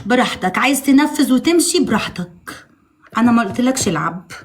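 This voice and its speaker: A woman speaks in a high-pitched voice with an Egyptian accent. She banters informally on a live stream, pressing her point with teasing, mock-stern insistence. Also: lively intonation, quick pace. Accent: Egyptian Arabic. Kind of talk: casual bantering live chat.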